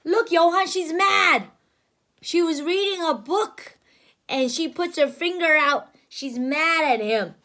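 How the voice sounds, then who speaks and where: A woman teacher reading a storybook aloud to a young child, expressive and exaggerated, with simple asides. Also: very high voice, slow.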